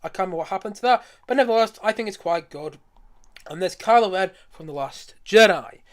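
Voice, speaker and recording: A man with a high voice talks at a rapid clip as he hosts a casual live stream. He is enthusiastic and rambles along, circling back to repeat his points. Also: lively intonation. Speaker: streamer showing a collection.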